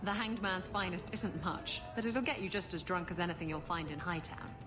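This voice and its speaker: An actress playing a roguish, flirtatious pirate captain in a video game, teasing and playful. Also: female voice, fairly low pitch, fairly even intonation, a little fast, natural voice. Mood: teasing, relaxed. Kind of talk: bantering game dialogue. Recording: music behind the voice.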